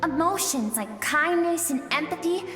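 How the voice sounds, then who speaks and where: A high, earnest young female game character, gentle and pleading. The voice rings with long reverb over background music. She speaks like a tender inner self that has been shut away. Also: lively intonation, normal speed. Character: gentle rejected younger self of a warrior woman, earnest, empathetic. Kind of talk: scripted video game drama. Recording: echoey reverb with music behind.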